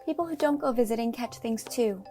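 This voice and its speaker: Casting: woman as chatty young country friend, highish voice, pleasant and teasing, storybook drama with faint music. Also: a little fast, dark tone, natural voice. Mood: firm. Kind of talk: dramatized audiobook character acting.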